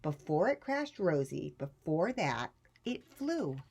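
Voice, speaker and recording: A woman's voice: low-pitched, big pitch swings, slow, gravelly, darkish tone, slight background noise, exaggerated voice. A woman reading a spirited, encouraging elderly great-great-aunt in a children's storybook, with an emphatic way of talking. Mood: jubilant, encouraging. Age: older. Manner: exuberant, emphatic.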